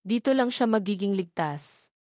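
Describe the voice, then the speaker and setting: A woman's voice: medium pitch, animated, moderate pace, a little mellow, no room sound, studio quality, lightly acted. Woman reading a cold billionaire boss. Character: cold, guarded young male billionaire boss.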